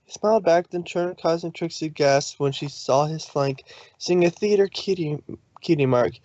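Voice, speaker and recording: A man's voice: on the high side, normal speed, a little mellow, no room sound, highs cut off. A man narrating a fan fiction story from a script.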